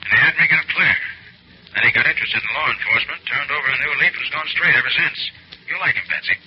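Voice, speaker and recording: An actor playing a confident, shrewd private detective in 1940s radio drama style, brisk and self-assured. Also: male voice, fairly high pitch, close to an everyday voice. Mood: brisk, friendly. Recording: muffled, noisy old radio broadcast.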